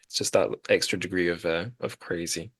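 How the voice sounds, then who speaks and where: A man's voice: sits low, steady tone, a little fast, fairly bright tone, breathy edge. A man hosting a podcast, chatting casually about literature in a rambling, self-deprecating way. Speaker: podcast host.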